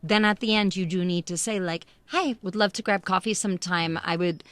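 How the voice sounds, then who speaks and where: A woman giving animated, emphatic, encouraging advice in conversation, often acting out little bits of quoted dialogue. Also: fairly low pitch, fairly quick, no room sound. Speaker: podcast guest, expat living in Spain.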